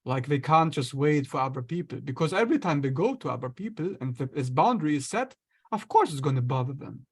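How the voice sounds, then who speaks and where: A man, an astrologer guest, explaining ideas in a relaxed conversational way, sometimes acting out inner voices. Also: on the high side, fairly quick, slightly rough. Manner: explains with acted-out inner voices and light laughs.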